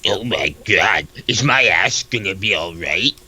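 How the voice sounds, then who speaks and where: A man voicing a submissive, sultry lover in a comic dub, murmuring in drawn-out phrases. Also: sits low, lively intonation, a crowd in the background. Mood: worried. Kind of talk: comic dub reading of a web comic.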